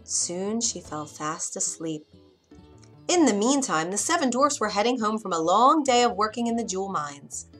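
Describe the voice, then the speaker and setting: Woman reading a bedtime story for kids, mid pitch, faint music underneath. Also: lively intonation, normal speed, a bit bright. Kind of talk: scripted children's bedtime story narration.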